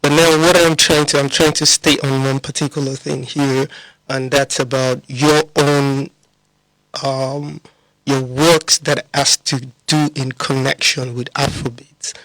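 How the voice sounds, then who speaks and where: A man's voice: medium pitch, level tone, a little slow, a bit bright, no room sound, low-quality recording. A man from Lagos with a Nigerian accent, hosting a live radio interview. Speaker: radio host.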